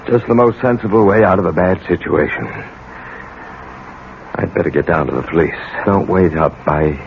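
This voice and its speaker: A man's voice: low-pitched, normal speed, creaky. An actor playing a middle-aged American college professor, a protective and commanding father who probes firmly, in 1970s radio drama style. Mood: commanding, resolute. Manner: probing, firm, authoritative. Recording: muffled, noisy old radio recording.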